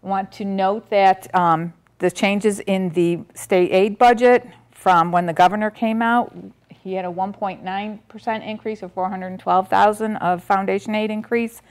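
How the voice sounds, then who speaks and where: A woman's voice: medium pitch, fairly even intonation, unhurried, smooth. A middle-aged woman presenting a school district budget at a public board meeting, methodically reading out figures with frequent ums and restarts, picked up by a distant camera in a meeting room. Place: board meeting room, standing by a projector screen. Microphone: far camera, room audio.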